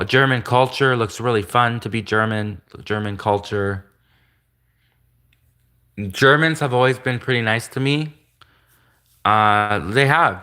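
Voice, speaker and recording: A man hosting a live stream, talking in a rambling, earnest way, often repeating himself, with a soft voice that some hear as gender-ambiguous. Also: mid-range voice, smooth. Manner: repetitive, earnest, restates points often; greets viewers and reads comments aloud.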